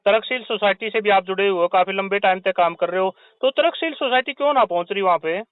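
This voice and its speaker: A man's voice: high voice, fast talker, clean tone, no echo. A man interviewing someone over the phone in a casual journalistic way, with wordy questions and short acknowledgements. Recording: narrow phone call recording.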